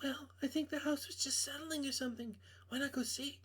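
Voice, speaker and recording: A man's voice: extremely high pitch, steady tone, a little fast, a bit bright, voice pushed up. A man voicing a falsely reassuring woman friend in a visual novel, soothing, insistent and downplaying. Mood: casually coaxing.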